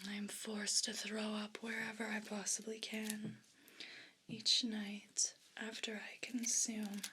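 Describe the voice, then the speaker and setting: A woman voicing a haughty, possessive evil pet cat in an ASMR role play, whispering in a breathy, condescending, complaining way. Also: mid-range voice, bright, forceful tone, a little hiss or hum. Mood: disgusted, complaining. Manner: slow, deliberate, condescending, disdainful.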